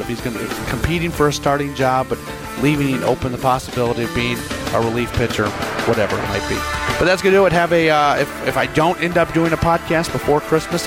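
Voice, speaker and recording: A man hosting a sports podcast, hoarse and losing his voice to a cold. He talks in a halting, casual way full of fillers, speaking into a headset, with music clearly playing beneath him. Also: medium pitch. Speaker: podcast host.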